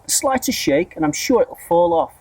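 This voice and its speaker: Man putting on a shrill, bossy middle-aged aunt. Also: animated, moderate pace, husky, dry recording, distant mic, rough recording. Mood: bossy, alarmed. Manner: yelling, snappish exclamations.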